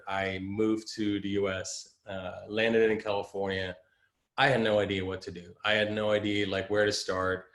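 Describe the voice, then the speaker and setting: Man, fairly low voice, rambling with fillers, roomy video-call sound. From Netherlands. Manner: rambling, many fillers and restarts. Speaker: creative director. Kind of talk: casual interview storytelling.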